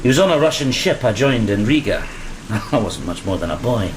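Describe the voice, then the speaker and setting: Casting: seasoned deckhand, fairly deep, reflective and plain, gothic radio-style play under heavy rain. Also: male voice, moderate pace, background noise. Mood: wistful, fond. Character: wistful, weathered male sailor, survivor of a flogging. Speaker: actor in an audio drama. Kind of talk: gothic horror audio play acting. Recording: steady rain behind the voice.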